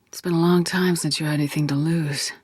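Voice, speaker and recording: A woman's voice: sits low, fairly even intonation, brisk, a little mellow, slightly rough, no room sound, clean studio sound. A woman voicing a tough, commanding female space soldier in a video game, with a firm, blunt, controlled delivery. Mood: resolute, blunt.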